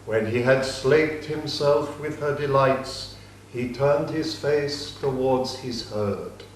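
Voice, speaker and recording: A man narrating an ancient epic in a measured, solemn dramatic reading. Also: medium pitch, a little hiss or hum. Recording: distant mic, echoey room.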